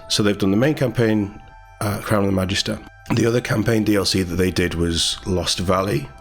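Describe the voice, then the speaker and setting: A man's voice: lowish voice, fairly bright tone. A man hosting a gaming news read-through, chatty and informal, with enthusiastic commentary as he goes. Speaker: host of a gaming news channel. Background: background music.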